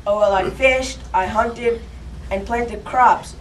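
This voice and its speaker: Earnest frontier boy voiced by a woman, plain reading, higher voice, distant mic. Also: takes it slowly. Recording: distant mic with faint noise.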